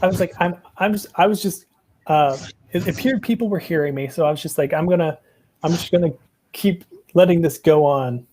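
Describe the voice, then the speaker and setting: A man's voice: fairly high pitch, fairly quick, dark tone. A young American man presenting over a video call from a small room at home, rambling with many ums and restarts. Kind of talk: casual video call chat. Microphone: earbuds on a video call.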